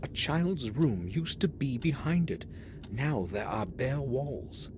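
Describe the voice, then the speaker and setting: An actor playing a matter-of-fact protagonist who observes an old castle aloud in a video game. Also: male voice, mid-range voice, expressive, slow. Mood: observant. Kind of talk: scripted video game narration. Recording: muffled, very noisy game audio.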